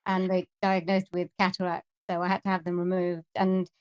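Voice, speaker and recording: A Welsh woman answering interview questions earnestly, pausing often with hesitant ums. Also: lowish voice, little pitch movement, darkish tone, smooth, muffled recording. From Wales. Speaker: guest, singer and voice artist.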